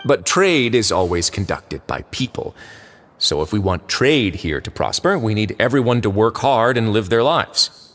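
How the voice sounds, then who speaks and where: A man voicing a calm, composed male prison warden in a video game, measured and wry as he reasons things out. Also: on the low side. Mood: reasoning. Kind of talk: game voice acting.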